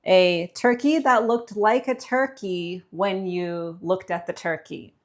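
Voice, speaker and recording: A woman's voice: mid-range voice, steady tone, slow pace. An American woman co-hosting a cooking show, chatting casually and conversationally as she explains things step by step. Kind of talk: casual chat while demonstrating cooking.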